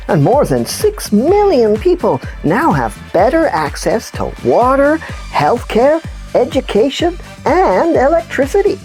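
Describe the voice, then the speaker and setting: A woman plays an excitable puppet news host in a children's comedy show. The delivery is emphatic and very lively in pitch, yet unhurried. Faint music sits behind the voice. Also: full character voice. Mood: enthusiastic. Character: excitable, chatty big-snouted puppet news anchor.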